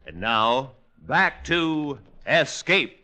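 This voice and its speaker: A man's voice: mid-range voice, big pitch swings, extremely slow. A man with an American accent announcing in a solemn, declamatory old-time radio style. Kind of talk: 1940s-50s radio announcing.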